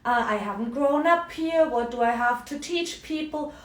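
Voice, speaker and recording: A woman's voice: sits high, moderate pace. A middle-aged woman from Germany with a German accent, a coach talking chattily in a live video, often tagging on "yeah?" and "right?". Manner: chatty, frequent tag questions. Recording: roomy, mic at a distance.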